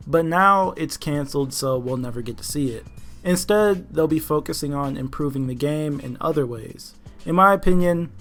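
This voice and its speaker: A man giving casual, opinionated commentary as the host of a gaming news video. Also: on the high side. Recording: music behind the voice. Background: background music.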